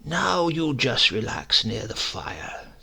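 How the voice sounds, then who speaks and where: A man voicing a smooth-talking older uncle, a secret poisoner with sly, drawn-out politeness, in a storybook reading. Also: mid-range voice, sing-song, sharp tone, exaggerated voice. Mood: agreeable, sly. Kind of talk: acted dialogue in a storybook reading.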